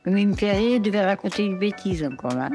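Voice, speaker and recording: A woman's voice: medium pitch, animated, fast talker, over music. A woman fondly looking through old photos of a family member, affectionate and amused. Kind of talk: fond reminiscing.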